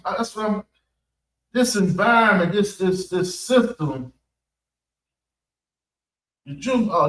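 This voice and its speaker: An American man giving an off-the-cuff religious address, halting and repeating phrases for emphasis. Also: on the high side. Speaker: preacher giving a public address at a mosque. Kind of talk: extemporaneous religious talk. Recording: echoey room, distant mic.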